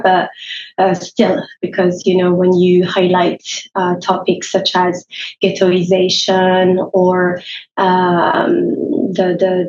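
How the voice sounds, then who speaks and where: A woman's voice: lowish voice, darkish tone, echoey room, highs cut off. A woman lecturer from France, giving interview answers with many fillers and restarts. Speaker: university lecturer, interview guest.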